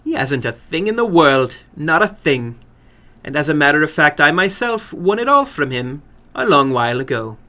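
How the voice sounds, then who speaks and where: A man's voice: a little high, very animated, moderate pace, pushed and bright. A man voicing a small, rather old foreign woman in a dramatic story reading, apologetic, weary and emphatic. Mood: weary, regretful.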